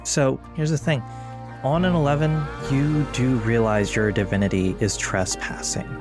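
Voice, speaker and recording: A man narrating a tabletop actual play as game master, with measured, deliberate storyteller phrasing. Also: mid-range voice, steady tone, music in the background.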